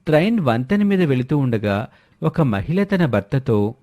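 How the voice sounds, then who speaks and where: A man's voice: medium pitch, very animated, a little slow, darkish tone. A man narrating a scripted moral story.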